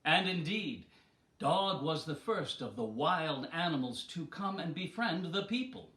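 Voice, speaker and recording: A man telling an animal folktale in a theatrical, deliberate, dramatic way. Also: on the high side, expressive, a bit bright, echoey room. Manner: dramatic, deliberate delivery with acted voices. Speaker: storyteller.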